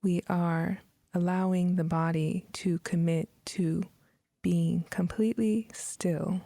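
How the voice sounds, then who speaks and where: A woman guides a meditation very slowly, leaving long pauses between short phrases. Her voice is mellow and slightly low, with soft breathy edges, recorded dry and close. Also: steady tone, no echo. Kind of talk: guided meditation, scripted narration.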